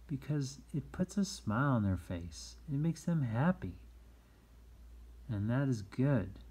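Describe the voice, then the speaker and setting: A man's voice: fairly low pitch, a little mellow. A man reading a picture book aloud to young children in simple, encouraging phrases. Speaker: read-aloud storyteller for children.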